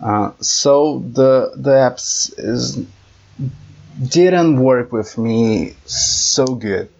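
Slow gravelly Brazilian speaker. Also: male voice, mid-range voice, a little mellow, lo-fi sound. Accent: Brazilian Portuguese. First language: Portuguese. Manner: halting, searches for words. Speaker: conversation partner. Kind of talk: casual chat.

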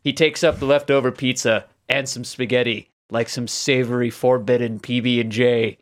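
A man's voice: medium pitch, fairly even intonation, normal speed. A man co-hosting a podcast, chatting casually and then narrating a story in a grandiose, dramatic manner. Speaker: podcast host.

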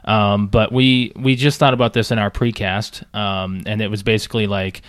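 A man's voice: lowish voice, flat delivery, normal speed, fairly bright tone, no room sound, studio quality. A man co-hosting a podcast, chatting casually and rambling through stories. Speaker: podcast co-host. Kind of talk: casual chat.

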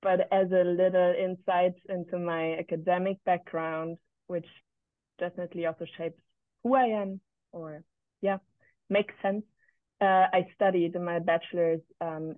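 A woman's voice: on the low side, dry recording. Podcast guest: woman, 27, from Berlin, reflective and tentative in casual talk, tinny call audio, no room sound. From Berlin, Germany. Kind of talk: casual interview conversation. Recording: thin, phone-like remote call audio.

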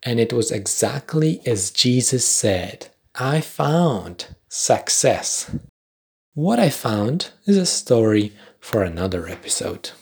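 A young man from Poland with a Polish accent, hosting a podcast in a measured, scripted reflective style with dry understated humour. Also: medium pitch, big pitch swings, creaky, slightly rough. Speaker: podcast host. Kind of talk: scripted reflective narration with commentary.

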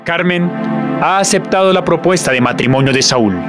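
Guy narrating a radio drama, loud music running under him. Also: on the high side, moderate pace.

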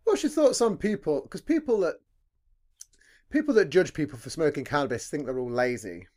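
A man with a deep, heavy voice hosting a podcast, chatting in a laid-back, rambling way and swearing casually. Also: lively intonation, moderate pace, darkish tone. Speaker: podcast host. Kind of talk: casual chat.

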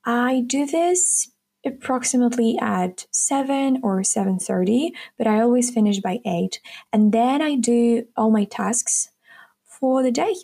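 A woman's voice: on the high side, a little slow, creaky, warm tone. A nineteen-year-old woman from Ukraine, hosting her own podcast in a chatty, upbeat solo talk that speaks straight to her listeners. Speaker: podcast host. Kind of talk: casual solo podcast monologue.

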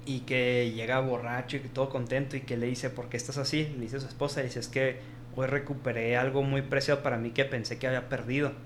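Mexican man, casual podcast co-host, mid-pitched voice, animated retelling, faint room ambience. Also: brisk, a little hiss or hum. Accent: Mexican Spanish. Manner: animated storytelling, acts out quoted dialogue, frequent restarts. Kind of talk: casual film discussion.

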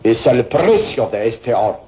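An actor playing a corpulent, greedy villain in a radio serial, with a sly, taunting manner. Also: male voice, medium pitch, sing-song, dark tone. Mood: scheming. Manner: sly, taunting, drawn-out delivery with menacing chuckles. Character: corpulent, greedy, sinister male villain hiring killers. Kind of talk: Spanish-language radio serial acting. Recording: dull, hissy old radio recording.

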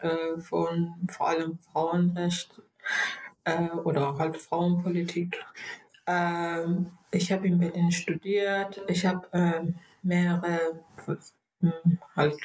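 Woman guest, Persian accent, hesitant delivery, slow, low-ish. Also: darkish tone, rough recording. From Iran. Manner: hesitant, many fillers and restarts. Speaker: interview guest. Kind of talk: casual interview.

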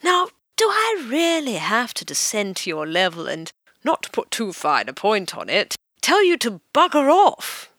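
A cutting English society woman of the 1930s in an audio drama. Her upper-class voice is bright and crisply condescending, swinging widely in pitch, recorded close and dry. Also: wildly swooping pitch, unhurried, no echo, exaggerated voice. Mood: scornful. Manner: clipped, condescending, formal. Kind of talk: full-cast audio drama acting.

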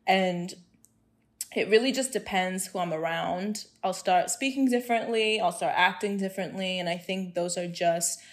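A young woman from Hong Kong talking in a casual group chat, outspoken and animated, laughing often. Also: medium pitch, normal speed.

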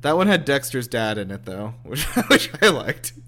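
A man's voice: medium pitch, sing-song, moderate pace, bright, forceful tone. A man hosting a casual group movie review chat in a sarcastic, sweary way. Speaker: host of a movie reaction show.

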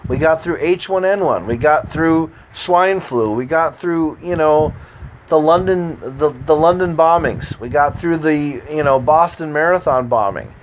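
A man's voice: a little high, unhurried, slight background noise, poor audio. Easygoing American man rambling casually. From United States. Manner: meandering, easygoing, frequent fillers. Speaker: podcast host.

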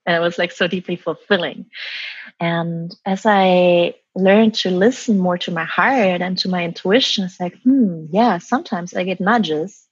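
A woman guest with a breathy voice who talks reflectively, full of "like" and "um", about her own path. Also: lowish voice, measured pace, dry recording, muffled recording. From Germany and Taiwan. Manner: reflective, many "like" and "um" fillers. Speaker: guest, former business consultant. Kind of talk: reflective interview answers.

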